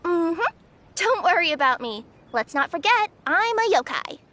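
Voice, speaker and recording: Game yokai courier: young, casual, confident, high lively voice. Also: female voice, measured pace, slight background noise, heavily acted. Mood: breezy, confident. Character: young female yokai courier, spirited, breezy and self-assured. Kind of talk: video game voice acting.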